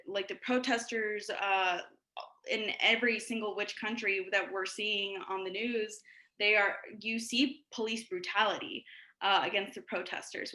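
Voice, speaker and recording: A woman from Ecuador speaking as a panelist in an online panel discussion, earnest and full of fillers and pauses. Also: mid-range voice, pushed and bright, reverberant.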